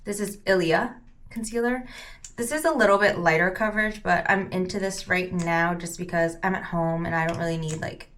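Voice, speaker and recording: A woman's voice: fairly low pitch, fairly even intonation, moderate pace. A woman in her thirties, born in California with Cantonese as her first language, chatting casually while she does her makeup. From San Francisco Bay Area, California. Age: 30s. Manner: chatty, peppered with "like", soft-spoken while doing makeup. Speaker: beauty vlogger. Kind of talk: casual get-ready-with-me chat.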